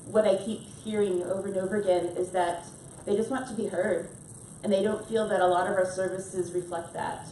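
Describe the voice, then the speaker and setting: A woman's voice: mid-range voice, fairly even intonation, fairly quick, few pauses, dark tone. A woman speaking as a lecturer on a panel, earnest and questioning. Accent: non-Scottish. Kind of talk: panel discussion remarks. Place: conference room, panel event. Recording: distant, echoey, faint noise.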